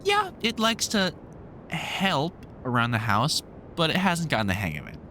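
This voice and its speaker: Man playing a lively, wry vampire hunter. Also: a little high, normal speed, slight background noise, heavily acted. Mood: explaining fondly. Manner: wry, sweary, exasperated but fond banter. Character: gruff, sardonic British vampire hunter, protective, teasing mentor. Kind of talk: roleplay audio drama addressed to the listener.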